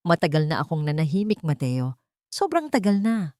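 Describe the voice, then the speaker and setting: A woman acts out a resolute old woman in an audio story. She is grave and unwavering, with a lowish voice in a dry recording. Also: very animated, moderate pace, a little mellow, dry recording. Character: resolute elderly female neighbour standing up as witness.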